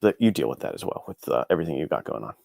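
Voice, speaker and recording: Male interview guest, very deep voice. Earnest, encouraging, reflective and conversational, with a dry sound. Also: very animated, racing, creaky, darkish tone, breathy edge, no room sound. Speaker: interview guest, indie author. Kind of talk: casual chat, reflective answers.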